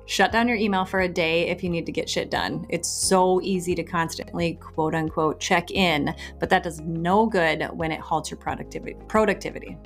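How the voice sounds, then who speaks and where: A woman co-hosting a business podcast, reading quick tips in a calm, soothing, matter-of-fact way. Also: on the low side, faint music behind. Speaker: podcast co-host. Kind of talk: rapid-fire podcast tips.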